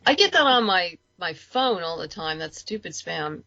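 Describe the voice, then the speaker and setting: A woman's voice: fairly low pitch, very animated, moderate pace, vocal fry, bright, forceful tone, muffled recording, a little hiss or hum. A woman chatting casually and informally as a guest on a podcast. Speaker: interview guest, comic and audio drama creator.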